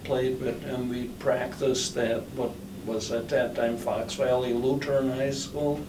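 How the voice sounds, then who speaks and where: An older man born in the Netherlands, with Dutch as his first language, recalling his past slowly with many pauses and fillers in an oral history interview. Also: medium pitch, flat delivery. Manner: slow, halting, many fillers. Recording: roomy, distant, noisy recording.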